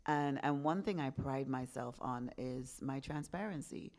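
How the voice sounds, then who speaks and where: Very low-voiced woman in her fifties. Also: a little slow, darkish tone. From England. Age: 50s. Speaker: podcast host being interviewed. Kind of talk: candid conversational storytelling.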